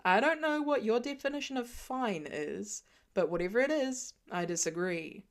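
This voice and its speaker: A woman's voice: a little high, expressive, normal speed. A woman voicing a confident, wisecracking grown man who talks in a dry, teasing way. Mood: wry. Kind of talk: audiobook fan reading.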